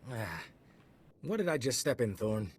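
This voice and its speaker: Husky, pompous customs agent. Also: male voice, medium pitch, sing-song, unhurried. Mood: haughty. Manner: pompous, condescending. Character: officious, menacing, self-important male customs agent.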